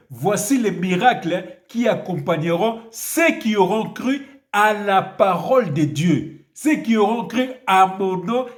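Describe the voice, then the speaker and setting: A man preaches in a somewhat high voice and sounds stuffed up from a cold. He delivers his sermon emphatically and keeps asking the listener questions. The room around him echoes. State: has a cold. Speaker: priest. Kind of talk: video sermon with prayer and Bible reading.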